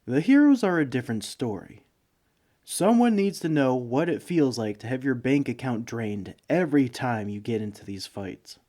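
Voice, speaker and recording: Male actor as bumbling supervillain, mid-pitched, sheepish, hesitant, pleading, self-justifying, comedic audio roleplay. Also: lively intonation, normal speed, warm tone, natural voice. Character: broke, bumbling, sheepish male supervillain neighbor begging for sugar. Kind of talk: comedic ASMR roleplay monologue.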